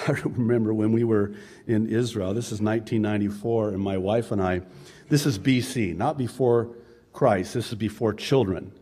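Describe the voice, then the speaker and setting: A man's voice: lowish voice, steady tone, moderate pace, mellow. Male pastor, 50s, Arabic native speaker, conversational pulpit delivery with chuckling asides. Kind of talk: sermon.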